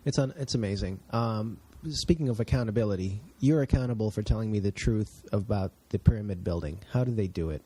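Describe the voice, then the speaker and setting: Mellow-voiced male podcast host. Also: on the low side, brisk, hardly any pauses, breathy edge. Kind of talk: casual interview talk.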